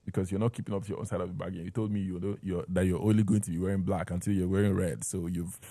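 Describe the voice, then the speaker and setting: A man's voice: deep voice, flat delivery, rapid-fire, mellow, no echo. A man with a Nigerian accent talking conversationally as an interview guest, sharing personal stories.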